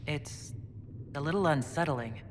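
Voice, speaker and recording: A woman's voice: sits low, unhurried. An actress playing a wary, down-to-earth female dwarf hero in a video game cutscene. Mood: wary, uneasy. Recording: echoey hall with background noise.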